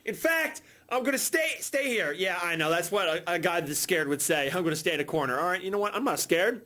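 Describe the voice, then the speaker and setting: A young man giving loud, crude comedy commentary over video games, yelling and joking at the screen, recorded on a headset in a bedroom setup with game music behind him. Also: high-pitched, lively intonation, a little fast. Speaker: gaming YouTuber.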